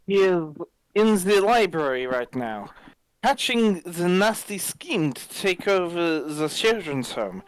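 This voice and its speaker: A man voicing a distressed, gossipy young French maid with a halting, put-on French accent. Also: sits high, sing-song, smooth, no echo, full character voice, rough recording. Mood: earnest, secretive.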